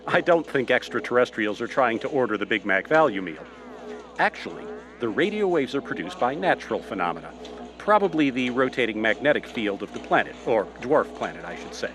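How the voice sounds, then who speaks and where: Radio play role: affable, slightly hesitant male professor, played by an actor, animated intonation, mid-range pitch, explanatory. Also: fairly quick, natural voice. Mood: amused, reassuring. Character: affable male astronomy professor being interviewed by phone. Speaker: professor of astronomy.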